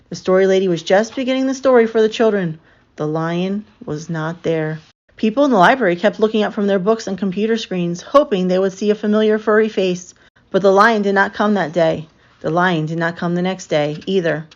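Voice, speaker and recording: Woman reading a children's story, slightly muffled. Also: mid-range voice. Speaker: storyteller reading a picture book aloud. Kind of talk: expressive children's story narration. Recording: slightly dull, lo-fi sound.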